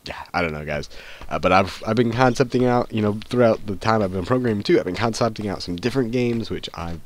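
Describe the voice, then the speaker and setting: Congested, nasal deep-voiced man. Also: fast, a little hiss or hum. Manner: rambling, full of ums and restarts. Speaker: gaming channel host. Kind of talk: casual gameplay commentary.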